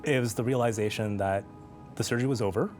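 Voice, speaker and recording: A man telling a personal story as an interview guest, speaking in a reflective, measured way with pauses. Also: medium pitch, a little mellow, soft background music. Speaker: interview guest, transplant patient.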